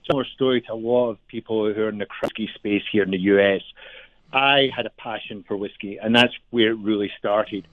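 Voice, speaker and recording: A man's voice: lowish voice, fairly even intonation, a little fast, no echo. A middle-aged man with a Glaswegian Scottish accent, a distiller guest explaining his story in a radio interview. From Scotland. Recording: phone-line call, dry.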